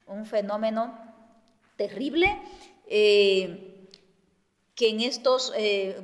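A woman from Guatemala, giving a measured lecture with many pauses and fillers. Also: mid-range voice, fairly bright tone. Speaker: speaker. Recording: echoey hall.

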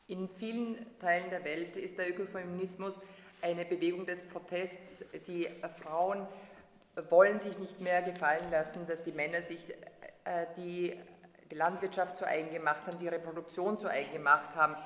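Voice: medium pitch, steady tone, moderate pace, fairly bright tone. Hesitant interpreter in an echoey hall. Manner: hesitant, many filler sounds and restarts. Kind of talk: consecutive interpreting.

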